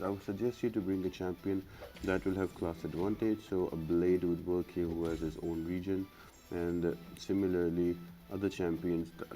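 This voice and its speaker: A man's voice: deep voice, mellow. A man giving casual, explanatory gameplay commentary as the host of a gaming guide. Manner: explanatory, instructional. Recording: clearly noisy background ambience.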